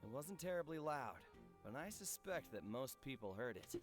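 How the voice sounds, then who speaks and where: A man's voice: mid-range voice, very animated, moderate pace, music in the background, natural voice. A man voicing an inquisitive, self-assured investigator in fantasy video game dialogue, polished and theatrical. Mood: confident, intrigued.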